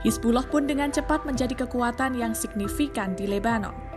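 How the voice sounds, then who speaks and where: A woman with an Indonesian accent reads a scripted news explainer in a formal, measured manner. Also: on the high side. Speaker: news narrator. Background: background music.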